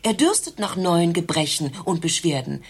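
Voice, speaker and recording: An actress playing a stern, self-righteous doctor's receptionist who lectures officiously in a satirical radio play. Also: female voice, on the low side, very animated, moderate pace, breathy edge, full character voice. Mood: lecturing, officious.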